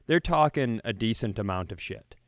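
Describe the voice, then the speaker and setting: A man's voice: lowish voice, lively intonation, moderate pace, no echo, studio quality. A man co-hosting a podcast, telling casual comic stories in a competitive, swearing way. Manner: profane, competitive storyteller. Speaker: podcast co-host.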